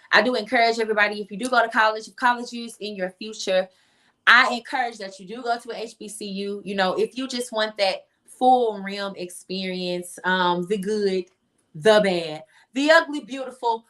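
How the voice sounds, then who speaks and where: A woman's voice: mid-range voice, a bit bright. A woman speaking casually as an interview guest, emphatic and repeating phrases to make her point. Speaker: interview guest, singer-songwriter.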